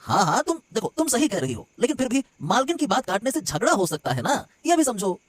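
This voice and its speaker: A man as an animated, placating household busybody. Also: very high voice, a little fast, full character voice. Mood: placating, excitable. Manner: excitable, chatty, brushing off worries. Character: meddling, placating male servant of a rich household. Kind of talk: Hindi cartoon story dialogue.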